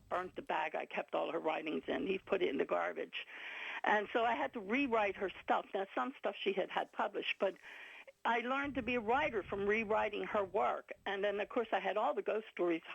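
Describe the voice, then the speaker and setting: Gravelly, husky older woman rambling. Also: mid-range voice, no echo. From Ireland. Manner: rambling, chatty, laughs often. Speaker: guest author and filmmaker. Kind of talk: casual radio interview. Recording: dull lo-fi radio sound.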